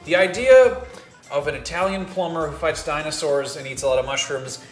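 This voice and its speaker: Higher-voiced man, animated and jokey. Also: a little fast. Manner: animated, jokey, acts out imagined quotes. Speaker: panelist on a video game talk show. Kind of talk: casual panel debate. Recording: roomy echo, music underneath.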